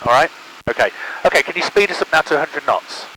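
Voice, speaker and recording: A man's voice: fairly high pitch, animated, fast talker, sharp tone, slight background noise, low-quality recording. A man giving flight instruction in a small aircraft cockpit, patient and reassuring, often checking understanding over a headset intercom. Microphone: aviation headset intercom.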